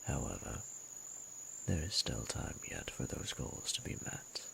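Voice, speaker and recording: Guy doing an ancient dragon lord for romance roleplay, really low n gravelly, breathy, courtly teasing, faint crickets behind. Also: sing-song, measured pace, pushed and bright, a little hiss or hum, exaggerated voice. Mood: proud, wistful. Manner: formal, archaic, teasing and courtly. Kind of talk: romantic audio roleplay, intimate slow monologue.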